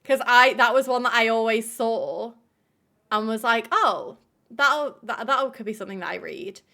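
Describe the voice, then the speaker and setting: A woman's voice: a little high, moderate pace, gravelly, pushed and bright. A woman hosting a live stream, chatting casually with viewers, filling her talk with "like" and swearing freely. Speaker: livestream host.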